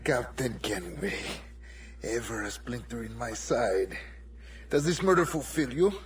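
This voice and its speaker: An actor playing a cold, dignified, scornful older Templar villain in a video game. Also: male voice, medium pitch, lively intonation, measured pace, crowd noise, natural voice. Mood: bitter. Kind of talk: cinematic video game acting.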